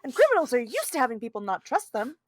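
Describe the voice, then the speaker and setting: A man's voice: extremely high pitch, huge pitch swings, fast, dry recording, studio quality, heavily acted. A man playing a conceited, scheming criminal mastermind in a theatrical table read, pompous, wordy and argumentative. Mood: excited, pompous.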